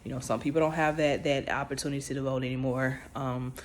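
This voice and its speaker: Low-voiced woman giving casual commentary. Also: steady tone, fast talker, a little hiss or hum. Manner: conversational and emphatic, with restarts; informal speech with dropped grammar. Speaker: vlogger.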